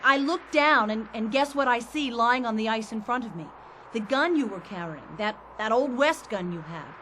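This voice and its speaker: A woman's voice: a little high, expressive, normal speed, slight background noise. A woman playing a plucky, anxious adventurer in a science fiction radio drama, speaking in an earnest, candid way. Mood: tense, recounting. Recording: loud ambient sound effects behind.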